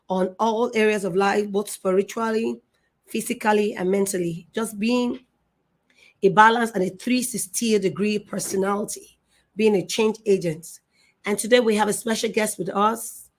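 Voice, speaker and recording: A middle-aged woman hosting a live online show, effusive and emotional, repeating herself and restarting her sentences. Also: medium pitch. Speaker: show host.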